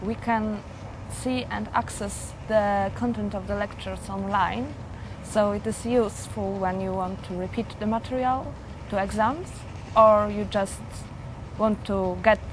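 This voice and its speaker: A woman, a psychology student, giving earnest, measured interview answers. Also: medium pitch, steady tone, poor audio. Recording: audible background noise.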